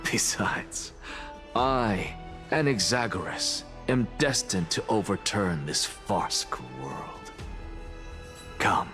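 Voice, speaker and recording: A deep-voiced, haughty scholar. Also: male voice, expressive, takes it slowly. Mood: proud conviction. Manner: haughty, scornful, articulate lecturing. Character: arrogant, brilliant male scholar, disdainful and foolhardy. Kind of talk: theatrical video game voice acting. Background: background music.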